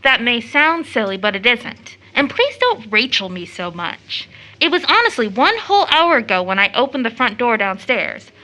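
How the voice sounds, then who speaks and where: A girlish young American daughter in a period radio play. Her voice is high and brightly forward, and she gushes and teases with impulsive affection and theatrical phrasing. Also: very animated, moderate pace, rough recording. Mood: playful, gushing. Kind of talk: stage-style period audio drama acting.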